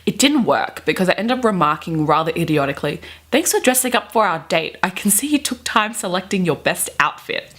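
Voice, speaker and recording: A woman's voice: fairly low pitch, big pitch swings, fairly quick, few pauses, fairly bright tone. A woman in her twenties giving dramatic readings of fan fiction with chatty, gushing asides, in a theatrical, giggly manner. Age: 20s. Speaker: book vlogger. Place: bedroom, indoors.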